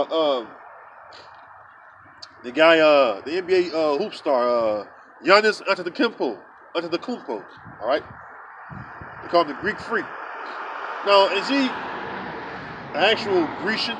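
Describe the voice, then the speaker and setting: A man with a high voice preaches outdoors, reading verses aloud and then breaking them down in blunt, casual street talk full of filler sounds. Faint traffic and the open air hum behind him. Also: animated, unhurried, long pauses, a bit bright, rough recording. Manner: many filler sounds and restarts. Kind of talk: scripture teaching.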